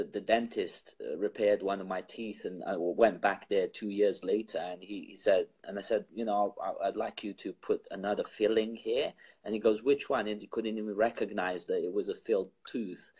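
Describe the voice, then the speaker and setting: A man from Bosnia interviews his guest, relaxed and rambling, leaning on fillers. His voice is low and a little husky. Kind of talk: casual interview chat.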